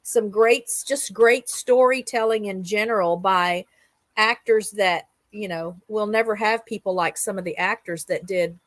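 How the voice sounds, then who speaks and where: A woman's voice: mid-range voice, measured pace, dry recording. A middle-aged or older woman hosting a casual livestream chat, talking in an enthusiastic, rambling storytelling way. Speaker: host of a livestream roundup show.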